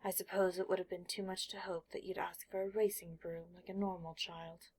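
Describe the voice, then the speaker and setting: A woman's voice: lowish voice, flat delivery, a little fast, darkish tone, slightly rough. Woman playing world-weary upper-class dad, clipped, disdainful, exasperated with his child, storybook narration.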